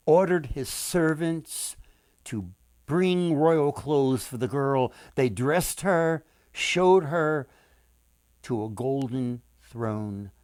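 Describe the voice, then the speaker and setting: Slow, dramatic older male storyteller. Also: mid-range voice, darkish tone, dry recording. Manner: deliberate, dramatic pauses, addresses listeners directly. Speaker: author and storyteller. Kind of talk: expressive children's story reading.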